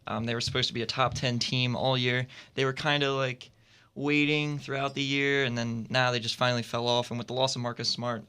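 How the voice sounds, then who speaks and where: A man's voice: mid-range voice, sharp tone, clean tone. A man giving firm opinions and predictions as a panelist on a roundtable sports radio show, recorded in a studio.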